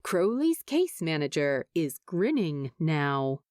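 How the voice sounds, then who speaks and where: A woman's voice: deep voice, sing-song, slow pace, darkish tone, no room sound, studio quality. A woman narrating a scripted story expressively, as an audiobook reader would. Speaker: fan fiction narrator.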